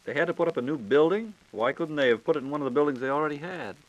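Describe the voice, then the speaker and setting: A man's voice: fairly high pitch, expressive, very fast, muffled recording. An American man hosting a scripted 1940s radio program, asking questions in a folksy, inquisitive way. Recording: old 1940s radio recording.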